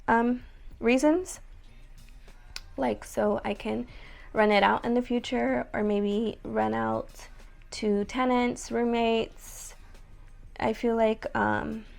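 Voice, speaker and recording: A young woman with a raspy voice talking casually to her viewers, chatty and full of fillers. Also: a little high. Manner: chatty, many likes and ums. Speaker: vlogger. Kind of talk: casual vlog talk to camera.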